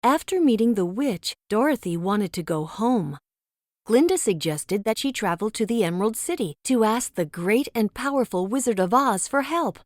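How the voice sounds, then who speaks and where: Female storybook narrator: higher register, very lively intonation, dramatic read-aloud delivery, dry studio-like sound. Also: normal speed, no room sound.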